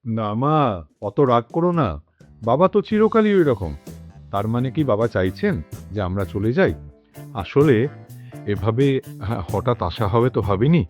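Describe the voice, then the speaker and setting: A lively, placating Bengali son. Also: male voice, mid-range voice, a little slow, long pauses, soft background music. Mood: placating, apologetic. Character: soothing grown son visiting from America. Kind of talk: Bengali radio drama acting.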